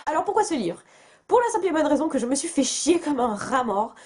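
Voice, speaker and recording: Chatty French book vlogger woman, fairly high voice. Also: sing-song, fairly quick, a little mellow. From France. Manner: chatty, self-mocking, many fillers and restarts. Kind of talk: casual chat to camera.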